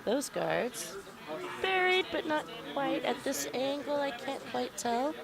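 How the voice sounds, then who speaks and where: A woman's voice: sits high, very animated, slow, vocal fry, a crowd in the background. A woman with a cold giving casual, joking play-by-play of a curling match at a club rink. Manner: joking, rambling, soft-spoken. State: has a cold. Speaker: curling commentator.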